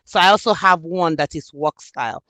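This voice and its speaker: A woman's voice: sits low, expressive, moderate pace, fairly bright tone, no echo. A woman from Nigeria with a Nigerian accent, speaking earnestly and reflectively in an interview about her book. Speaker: author and student. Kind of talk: interview conversation.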